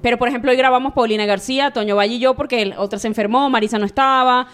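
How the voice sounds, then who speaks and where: Fast-talking Venezuelan woman, chatty. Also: fairly high pitch, steady tone. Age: 30s. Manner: chatty and candid, rapid storytelling. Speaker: sports journalist guest. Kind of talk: casual interview chat.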